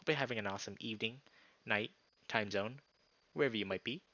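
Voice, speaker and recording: A man narrating a visual novel aloud as a streamer, with informal, expressive asides. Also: lowish voice, fast, lo-fi sound.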